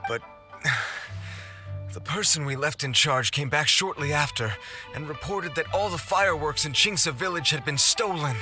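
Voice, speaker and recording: A man's voice: fairly high pitch, unhurried, sharp tone. A man voicing a worried, flustered fireworks organizer pleading for help in a game cutscene. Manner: worried, pleading, explaining at length. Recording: game audio with clear music. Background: game music.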